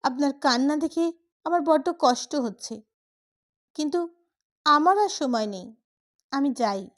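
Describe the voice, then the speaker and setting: Woman voicing a weary, restless man, high voice. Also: expressive, unhurried.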